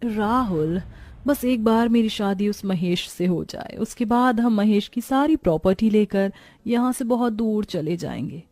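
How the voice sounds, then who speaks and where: A woman's voice: mid-range voice, expressive, unhurried, darkish tone, dry recording, soft background music, lightly acted. Woman playing coy, scheming young fiancée. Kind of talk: Hindi moral-story cartoon acting.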